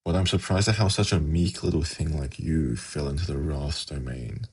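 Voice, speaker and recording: A man playing a suave, haughty demon prince in an ASMR fantasy roleplay, speaking in a measured, formal, silkily condescending way with a gravelly, husky voice. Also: very deep voice, a little mellow, full character voice. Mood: soft, coaxing.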